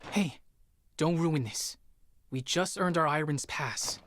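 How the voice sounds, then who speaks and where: An actor playing a stern, dutiful young Spartan warrior with a curt, disciplined manner in a video game drama. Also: male voice, on the high side, takes it slowly, fairly bright tone, a little hiss or hum.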